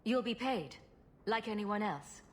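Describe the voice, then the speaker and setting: An actress playing a calm, direct and compassionate adventurer heroine in a video game. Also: female voice, mid-range voice, unhurried, a little hiss or hum, natural voice. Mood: kind, firm. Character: composed, compassionate adventurer heroine, mistress of the house.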